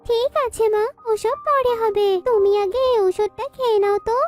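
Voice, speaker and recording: A woman's voice: extremely high pitch, fairly even intonation, normal speed, a little mellow, faint music behind, pitched above the performer's own voice. A woman voicing a devoted young daughter bird, plaintive and earnest, in a children's cartoon melodrama. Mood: caring, insistent.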